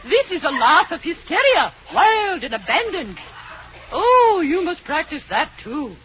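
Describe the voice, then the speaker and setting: A woman's voice: very high voice, sing-song, unhurried, a bit bright, lo-fi sound, slight background noise, exaggerated voice. An actress playing a fussy, theatrical female drama coach in an old radio comedy, recorded with a studio audience. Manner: theatrical, gushing, instructing. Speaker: drama coach running auditions. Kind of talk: 1940s radio comedy acting.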